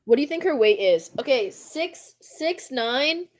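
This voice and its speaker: A woman's voice: high voice, animated, unhurried. A woman co-hosting a casual sports podcast, chatty and full of questions. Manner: chatty, curious, asks many questions. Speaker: podcast co-host.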